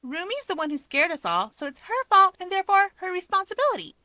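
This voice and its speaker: Woman voicing a high-pitched cheeky mouse girl, grown-up. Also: sing-song, fast talker, sharp tone, no echo, full character voice. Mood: mock hurt. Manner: playful, wordy, teasing.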